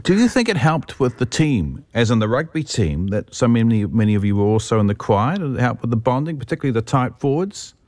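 A man's voice: medium pitch, sing-song, fast, few pauses, a little mellow, no room sound. A man, a radio host asking curious, conversational questions of a guest. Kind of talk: radio interview.

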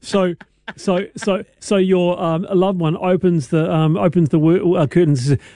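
A New man hosts a radio show in an upbeat, teasing way and reads out listener messages. His voice is a little high and mellow, and it sounds dry and close. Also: steady tone, normal speed, no room sound. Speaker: radio host. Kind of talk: breezy radio hosting.

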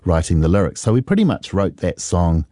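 NZ musician, middle-aged man, very deep dark voice, dry close sound, reflective storyteller. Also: big pitch swings, no echo. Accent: New Zealand. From New Zealand. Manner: reflective, unhurried, many fillers. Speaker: musician guest. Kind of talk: relaxed interview storytelling.

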